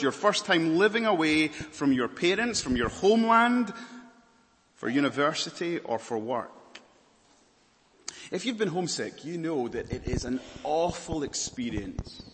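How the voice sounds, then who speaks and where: A Scottish man preaching a sermon, earnest, moving between emphatic lines and hushed, slower ones. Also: a little high, long reverb tail. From Scotland.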